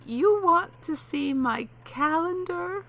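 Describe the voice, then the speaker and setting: A woman's voice: very high voice, lively intonation, gravelly, fairly bright tone, heavily acted. A woman playing a wary elderly widow. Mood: wary, polite. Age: older. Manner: polite, wary, slow and lilting. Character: elderly widow, polite, wary, on a fixed income. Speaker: homeowner. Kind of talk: audio drama acting.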